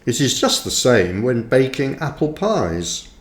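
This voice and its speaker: Guy reading his book out slowly, lots of pauses, pitch moves around a lot, echoey room. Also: sing-song. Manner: measured, deliberate phrasing with frequent pauses. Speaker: author reading own book. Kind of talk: audiobook-style scripted narration. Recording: roomy, echoey sound.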